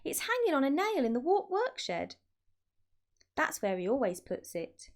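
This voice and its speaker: A woman's voice: high-pitched, wildly swooping pitch, normal speed, pitched above the performer's own voice, exaggerated voice. A woman voicing a determined monkey father from a children's storybook, bossy and emphatic. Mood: urgent. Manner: urgent, emphatic calling. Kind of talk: children's storybook read-aloud.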